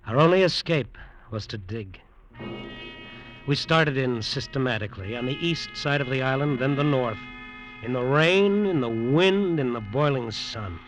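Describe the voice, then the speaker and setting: A man's voice: medium pitch, lively intonation, unhurried, close to an everyday voice, rough recording. A man acting in an old radio suspense drama as a desperate, greedy resort builder who narrates his own downfall in an intense, hard-boiled way. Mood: tense, brooding. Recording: old radio recording with music.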